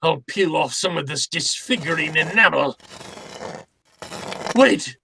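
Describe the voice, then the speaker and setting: Slow, bright-voiced, courtly crime boss. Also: male voice, fairly high pitch, animated, gravelly, slight background noise, exaggerated voice. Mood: eager, tense. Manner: florid, courtly, deliberate, chuckling politeness. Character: jovial, scheming, genteel male crime boss. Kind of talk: old time radio drama acting.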